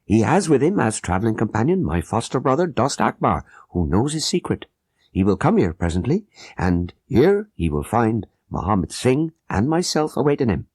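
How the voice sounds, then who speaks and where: Male narrator as grave Sikh guard in an audiobook; formal, urgent, husky, with wide swoops. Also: medium pitch, sing-song, moderate pace, a little mellow, no room sound, clean studio sound, pitched above the performer's own voice. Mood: solemn persuasion. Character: grave conspiring Sikh guard, firm and persuasive.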